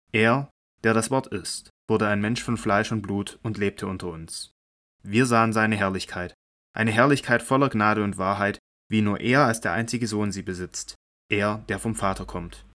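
A man narrating scripture in a measured, solemn reading for a radio drama. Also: lowish voice, fairly bright tone.

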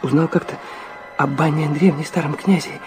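A man's voice: fairly high pitch, fairly even intonation, brisk, over music, natural voice. An actor playing a feverish, proud young man, narrating his own torments intensely and theatrically in a radio play. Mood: stunned, brooding. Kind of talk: dramatic first-person radio play narration.

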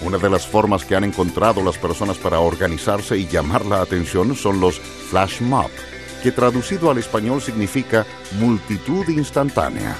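A male narrator reads educational copy in a low voice. His intonation moves animatedly, and music plays clearly underneath. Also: expressive, normal speed.

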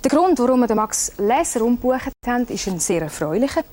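A woman hosting a TV talk show, presenting and interviewing in a chatty, slightly halting way. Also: a little high, very animated, a little mellow.

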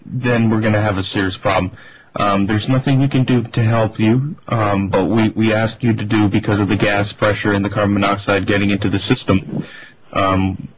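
A man playing an urgent, insistent hotel staffer on a prank phone call, halting and polite in address. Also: fairly low pitch, close to an everyday voice. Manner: halting, repeatedly addressing the listener as ma'am. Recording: dull, noisy telephone recording.

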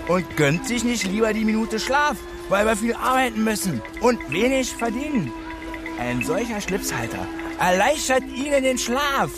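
An actor playing a boastful working-class ex-convict street hawker with a Berlin accent and colloquial patter in a radio drama. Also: male voice, sits high, big pitch swings, moderate pace. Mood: cajoling hawking. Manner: loud haranguing sales patter, colloquial. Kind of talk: German radio drama acting. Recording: music behind the voice.

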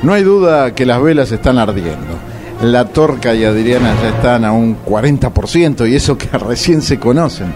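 A man's voice: mid-range voice, sing-song, normal speed, over music. A man, giving comic scripted narration for a radio play.

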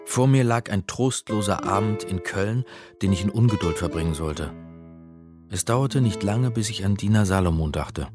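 An actor playing an uneasy, polite investigator who tells his own story in a radio drama, in a measured, brooding manner. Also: on the low side, lively intonation, loud music behind the voice, natural voice. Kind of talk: radio drama narration and dialogue.

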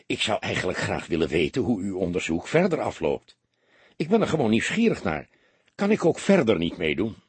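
A man voicing a cheerful, polite gentleman who is curious and eager, in an audiobook story. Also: on the low side, big pitch swings, brisk, breathy edge, no room sound, exaggerated voice. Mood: amused, curious. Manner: curious, courteous. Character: cheerful, polite gentleman, excited amateur helper.

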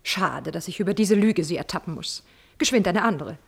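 An actress playing a proud, spurned countess in a classical radio drama, wounded, bitter and insistent, theatrically moving between exclamations and whispers. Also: female voice, lowish voice, animated, a little fast, vocal fry, breathy edge, heavily acted. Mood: sharp, scornful.

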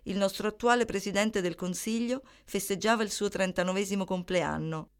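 A woman giving scripted dramatic narration as a monologue, in measured, short rhythmic phrases. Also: mid-range voice, steady tone, smooth.

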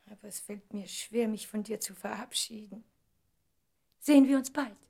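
An actress playing an emotional, impulsive Broadway star of twenty-nine in a radio play, with expressive, theatrical delivery. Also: female voice, mid-range voice, moderate pace, gravelly, breathy edge. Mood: tender, reluctant. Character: 29-year-old Broadway star, emotional, impulsive, devoted woman.

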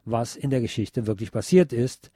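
A man hosting a radio programme, reading scripted narration in a measured, deliberate way. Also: mid-range voice, dark tone, slightly rough, no room sound, studio quality. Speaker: radio show host.

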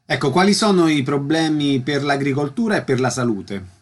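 A man's voice: a little high, animated, normal speed. A male radio host asking short, direct questions and closing segments.

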